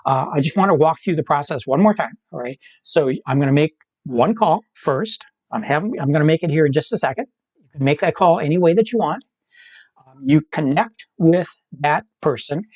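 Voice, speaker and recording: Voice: medium pitch, a little fast, darkish tone, no room sound, highs cut off. A middle-aged instructor giving live online tech training step by step, often checking in with "right?" as they explain.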